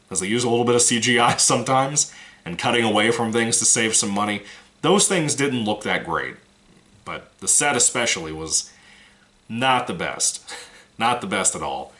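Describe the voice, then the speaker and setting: A man's voice: medium pitch, bright, forceful tone. A man giving a casual, rambling movie review, frank and swearing now and then, his voice still recovering from a cold.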